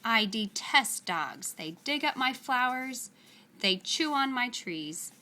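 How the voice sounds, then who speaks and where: A woman voicing a menacing, haughty male magician in a storybook, speaking deliberately. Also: on the high side, animated, sharp tone, heavily acted. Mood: menacing, disgusted. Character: menacing adult male magician, imperious and cold.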